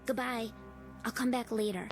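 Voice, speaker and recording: A woman's voice: fairly high pitch, fairly even intonation, normal speed, lightly acted. An actress playing a blunt, uneasy little girl who asks probing, curt questions in a vintage TV drama. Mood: anxious. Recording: vintage TV soundtrack with music behind.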